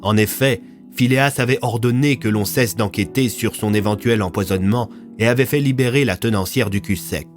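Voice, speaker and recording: A man's voice: fairly low pitch, a little fast, faint music behind. A man reading scripted narration for an audio fiction serial, in a storytelling delivery.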